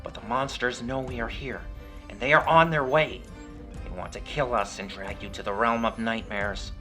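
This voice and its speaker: A man's voice: medium pitch, fairly even intonation, normal speed, pushed and bright, faint music behind, close to an everyday voice. A man voicing a male felt puppet in a horror story, persuasive and falsely friendly with a rehearsed manner. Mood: urgent.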